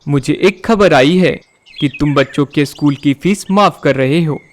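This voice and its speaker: A man's voice: fairly high pitch, unhurried, lightly acted. A man voicing a domineering, accusing kite bird who owns a school in a Hindi cartoon. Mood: stern, accusing. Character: angry, bossy male kite bird, school owner.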